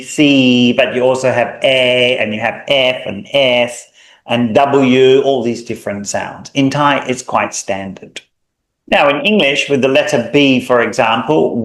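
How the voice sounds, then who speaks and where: A man with a mild Australian accent teaching a language lesson, explaining patiently, drawing out example sounds, with small hesitations. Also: medium pitch. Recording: echoey room.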